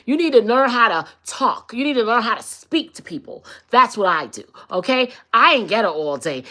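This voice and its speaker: A woman's voice: mid-range voice, bright, forceful tone. A woman with a New York accent hosting a live stream, talking bluntly and profanely as she reacts to viewer comments. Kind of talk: casual live-stream chat reading comments.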